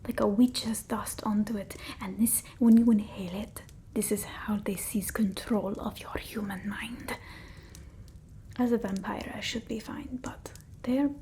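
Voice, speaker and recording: An eccentric, theatrical vampire woman centuries old, acted by a woman, murmurs in a high, mellow voice. She speaks very slowly and haltingly in breathy whispers, doting and dramatic, in a close-up ASMR roleplay. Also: a little hiss or hum. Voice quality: soft, breathy, often whispered. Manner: halting, theatrical, with quaint phrasing. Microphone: close ASMR microphone.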